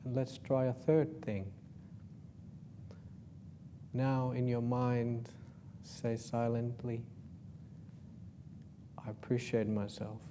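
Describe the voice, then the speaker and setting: A man from Finland with a Finnish accent, a Buddhist monk leading a guided meditation in an informal, gently joking way with soft, slow guiding phrases. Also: mid-range voice, flat delivery, noisy background.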